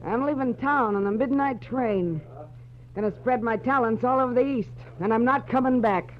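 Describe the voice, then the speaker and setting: An actress playing a tough, slangy, hard-drinking fortune teller in a 1940s radio drama. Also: female voice, on the high side, normal speed, lightly acted. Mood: wary, boastful. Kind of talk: 1940s radio detective acting. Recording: dull old 1940s radio recording.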